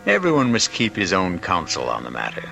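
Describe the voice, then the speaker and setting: A man's voice: low voice, huge pitch swings, fairly quick, vocal fry, highs cut off, full character voice. A man playing a wry, ominous host, delivering droll, theatrical old-time radio narration. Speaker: mystery show host. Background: music.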